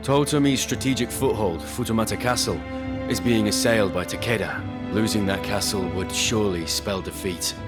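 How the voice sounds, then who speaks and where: Game role: male actor as courteous warlord of old Japan, grave, lowish voice, under loud orchestration. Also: normal speed, loud music behind the voice, natural voice. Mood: grave, earnest. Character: grave, courteous feudal Japanese lord commanding his forces. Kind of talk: video game cutscene acting.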